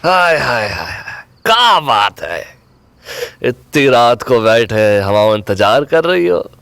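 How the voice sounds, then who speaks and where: A sly, leering village thug. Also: male voice, mid-range voice, very animated, slow, creaky, a bit bright, clean tone, dry recording, exaggerated voice. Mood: teasing. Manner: mocking, leering, laughs at others' pain. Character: sly, taunting young male village thug. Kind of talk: audio drama acting.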